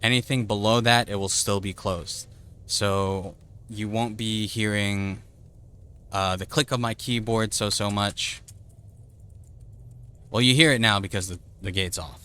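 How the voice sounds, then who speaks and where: A man's voice: fairly low pitch, steady tone, a little slow, long pauses, pushed and bright, clear, resonant tone. A man streamer casually explaining audio settings in a loose, chatty way with plenty of fillers, on a desktop streaming mic at his home setup. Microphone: desktop streaming microphone with noise gate.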